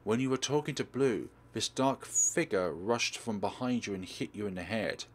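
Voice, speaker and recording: A man's voice: fairly low pitch, moderate pace, natural voice. A man reading an urgent, protective girl. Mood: grave, urgent. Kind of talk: visual novel character read-aloud.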